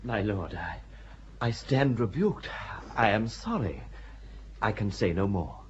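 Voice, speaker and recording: An actor playing an impudent nobleman nicknamed Bluebeard who speaks with a slow, mocking drawl. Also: on the low side, expressive, breathy edge, full character voice. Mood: sheepish. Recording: dull audio with faint hiss.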